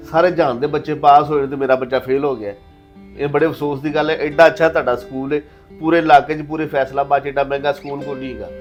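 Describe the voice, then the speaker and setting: Man playing an emphatic Punjabi father, higher-pitched. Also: natural voice. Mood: aggrieved. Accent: Pakistani Punjabi. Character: proud, hopeful father who turns furious. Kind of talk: acted moral-story drama.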